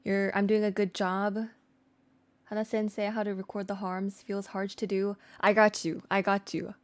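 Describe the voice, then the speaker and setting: A woman's voice: mid-range voice, level tone, moderate pace. Casual, chatty woman teaching on a livestream. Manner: informal, chatty, slangy. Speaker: streamer and singer teaching vocal layering. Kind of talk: casual livestream tutorial with chat replies.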